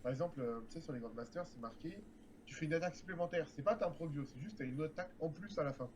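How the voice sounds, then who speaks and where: A man's voice: fairly high pitch, racing, darkish tone, a little hiss or hum. A man chatting about a mobile game with friends on a stream, explaining the game's features. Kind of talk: casual gaming chat.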